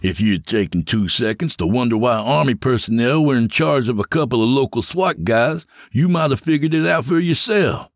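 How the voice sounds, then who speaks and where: A man's voice: mid-range voice, moderate pace, hardly any pauses, no echo, clean studio sound, pitched above the performer's own voice, natural voice. A man voicing a smug, mocking male officer in an audiobook. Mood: taunting. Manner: mocking, cocky.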